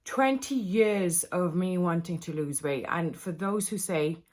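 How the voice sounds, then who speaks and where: Candid woman, slightly low-pitched voice. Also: a little slow, a little mellow. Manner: emotional, candid, rambling. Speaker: vlogger. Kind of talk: confessional casual chat to camera.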